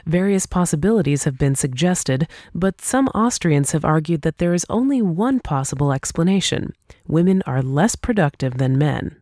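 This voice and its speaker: A woman's voice: sits low, warm tone, no room sound. Woman reading an essay, dry close sound. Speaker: audiobook reader. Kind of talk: scripted narration of an essay.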